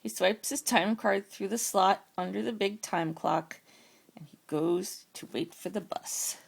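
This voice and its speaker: A woman's voice: medium pitch, a little slow, creaky, slightly rough. A woman reading a children's storybook aloud as a parent does at bedtime.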